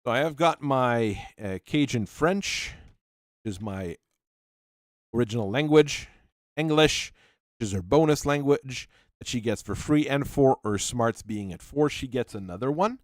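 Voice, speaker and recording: A man at a middle pitch, thinking out loud as he builds a tabletop game character. He reads rules aloud in a halting, musing way. His voice is close and dry, with no room sound around it. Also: lively intonation, dry recording. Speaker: channel host. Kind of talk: talking through tabletop character creation.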